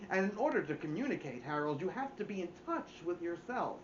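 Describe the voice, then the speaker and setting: A man's voice: high voice, sing-song, brisk, roomy sound, muffled recording, noisy background. A man voicing a pompous, earnest male cat from a storybook, preachy and emphatic. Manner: preachy, self-important, emphatic. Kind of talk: storybook character voice.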